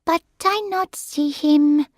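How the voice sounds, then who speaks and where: A woman reading the part of a young carer who speaks gentle, apologetic broken English, pitched higher than her narration. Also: steady tone, takes it slowly, dry recording, studio quality. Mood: polite.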